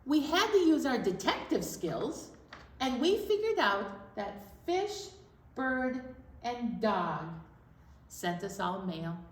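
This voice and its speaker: A woman hosting an interactive storytime for preschoolers, playful and expressive, prompting the children with questions. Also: a little high, slow. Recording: echoey room.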